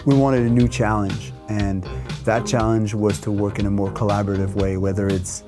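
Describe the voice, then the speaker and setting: A man's voice: fairly low pitch, moderate pace, over music. Man chatting in a synth studio. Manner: relaxed, rambling, gestures with hands while talking. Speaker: musician giving a studio tour. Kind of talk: casual chat. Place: wood-panelled music studio full of synths.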